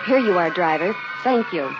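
An actress playing a distraught wife searching in the dark for her husband, breathless and melodramatic, in an old radio horror drama. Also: lowish voice, lively intonation, normal speed, loud music behind the voice. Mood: composed. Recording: muffled, noisy old radio broadcast.

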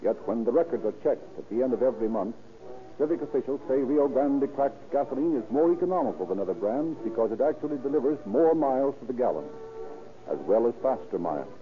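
A man's voice: medium pitch, expressive, fast talker, soft background music. Tinny 1930s radio announcer, male. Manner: formal, emphatic announcing. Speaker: announcer and narrator. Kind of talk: 1930s radio scripted narration and ad reading.

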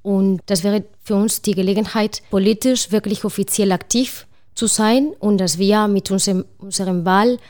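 A woman with a Spanish accent, a native Spanish speaker, holds forth as a panel guest at a mid pitch. She speaks earnestly and fluently, stopping now and then to rephrase. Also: steady tone, normal speed, a little mellow. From Spain. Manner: earnest, fluent, with searching restarts. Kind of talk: panel discussion.

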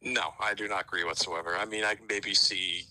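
A man's voice: deep voice, steady tone, a little fast, pushed and bright, no echo, poor audio. A young man calling in to a sports radio show, speaking eagerly and emphatically. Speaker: caller, college sports fan.

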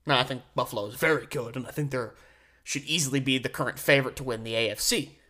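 A man hosting a solo sports podcast, giving conversational, opinionated commentary with emphatic stresses and deliberate pauses. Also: mid-range voice, pushed and bright.

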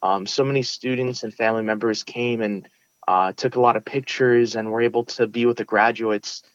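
A man's voice: medium pitch, fairly even intonation, moderate pace, smooth, no echo, highs cut off. A young man from Brooklyn, earnestly answering interview questions with many restarts and fillers. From United States (Brooklyn). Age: young adult. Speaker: interview guest, valedictorian. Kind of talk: casual interview.

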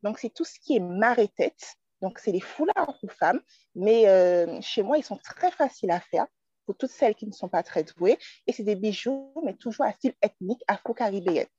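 A woman's voice: mid-range voice, normal speed, slightly rough, no echo. Young woman from Martinique, dull dry sound. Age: 20s. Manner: relaxed, storytelling, with fillers. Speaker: guest entrepreneur. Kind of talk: casual interview conversation.

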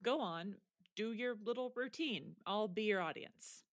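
A woman playing a sardonic teenage high school soccer player in an audio drama, dry and blunt. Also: measured pace, no echo, natural voice. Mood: dry, challenging. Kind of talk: naturalistic audio drama acting.

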